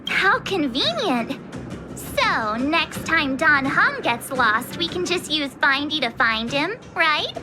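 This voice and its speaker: A very high, perky teenage adventurer in an anime-style game. She is bubbly, playful and excitable, with a lively swing to her unhurried delivery. Game music runs behind her. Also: full character voice. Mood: cheerful.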